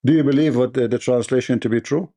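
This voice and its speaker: Combative host with a mellow, dark voice. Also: male voice, mid-range voice, fast talker. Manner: combative, repetitive and quizzing. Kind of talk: live-stream debate.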